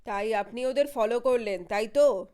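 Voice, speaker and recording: A terse, composed detective acted by a woman questions a witness in an audio mystery. The voice drops well below the narrator's usual pitch and keeps the questions short and pointed. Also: normal speed, mellow, natural voice. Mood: probing, composed. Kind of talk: audio story dialogue.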